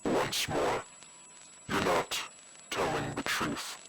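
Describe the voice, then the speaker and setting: An actor playing a cold, menacing male interrogator in a horror audio drama, terse, deliberate and accusing. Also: very deep voice, pushed and bright, breathy edge, full character voice, low-quality recording.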